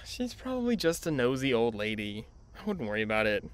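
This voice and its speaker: A man's voice: mid-range voice, very animated, a little fast, slight background noise, close to an everyday voice. A man playing an easygoing, affectionate husband and father in an amateur radio drama. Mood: reassuring.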